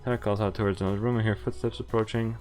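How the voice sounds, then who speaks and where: A man's voice: fairly low pitch, fairly even intonation, very fast, darkish tone. A man streaming a visual novel, reading the game text aloud with casual, profane, laughing commentary. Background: faint game music.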